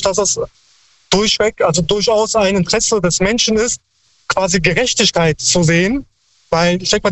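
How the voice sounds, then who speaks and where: A high-voiced man calling in to a radio show, laying out his points in long, earnest, lecturing sentences. The sound is dry and close, with no room around it. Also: a bit bright, dry recording, low-quality recording. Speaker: caller. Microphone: phone line.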